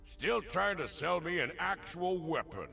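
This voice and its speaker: An actor playing a gruff old veteran warrior in a video game, gravelly, with a dry, sarcastic, folksy delivery. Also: male voice, high voice, animated, unhurried, pushed and bright, faint music behind, exaggerated voice. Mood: wry grumbling.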